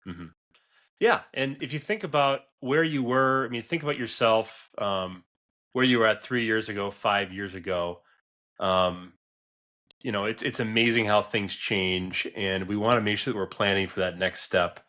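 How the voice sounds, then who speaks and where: A man's voice: fairly low pitch, moderate pace, sharp tone. A man of forty hosting a business advice podcast in a conversational, earnest and emphatic way, often checking in with "right?". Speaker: podcast host, business advisor.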